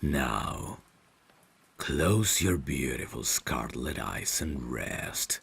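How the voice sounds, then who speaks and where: A man playing a devoted, courteous vampire butler in an ASMR audio drama, speaking formally and gently in a hushed, gravelly voice that slips toward whispers. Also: rumbling low, animated, heavily acted. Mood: caring, soothing. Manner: slow, formal, gentle, deferential.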